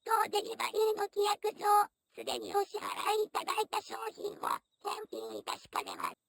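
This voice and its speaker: A woman's voice: very high-pitched, wildly swooping pitch, a little fast, gravelly, heavily acted. A woman voicing an eerie doppelganger monster that poses as a politely formal delivery worker in an analog horror drama. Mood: coldly polite.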